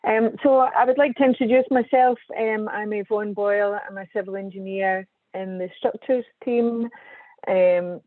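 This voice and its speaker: A woman with a Scottish accent, presenting a webinar as an engineer, measured and full of ums. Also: medium pitch, steady tone, no echo. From Scotland. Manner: measured, hesitant with frequent ums. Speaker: guest speaker, civil engineer. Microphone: video call. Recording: tinny dry online call audio.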